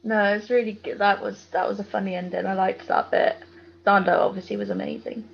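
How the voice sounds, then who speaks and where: A woman's voice: medium pitch, flat delivery, a little fast, gravelly, slight background noise. A British woman co-hosting a podcast in informal, colloquial casual chat, on a slightly dull recording. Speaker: podcast co-host.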